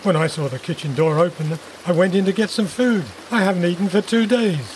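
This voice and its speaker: A woman's voice: low-pitched, moderate pace, darkish tone, slight background noise, pitched below the performer's own voice, close to an everyday voice, poor audio. A woman reading a story, voicing a nervous, thick-set male intruder with a terse, hesitant manner. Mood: ashamed, awkward.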